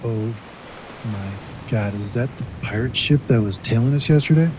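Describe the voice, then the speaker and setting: A man's voice: medium pitch, normal speed, lightly acted. A man playing a laid-back, joking young buddy with a casual, slangy manner in an audio drama. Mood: astonished. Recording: noisy sea and ship ambience.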